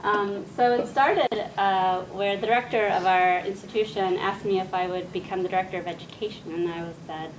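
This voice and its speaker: A soft-spoken woman gives a lecture, her quiet voice picked up from a distance. She pauses often with small fillers as she explains. A steady hiss of room noise sits under her words. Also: mid-range voice. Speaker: guest speaker. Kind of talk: academic talk to an audience. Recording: distant mic with noisy room.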